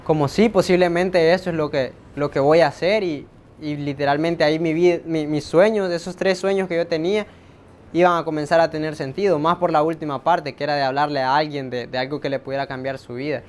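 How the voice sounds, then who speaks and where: A man's voice: steady tone, a little fast. A man with a Salvadoran accent giving a casual, reflective personal testimony in an interview, repeating words as he thinks. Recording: faint low rumbling background noise.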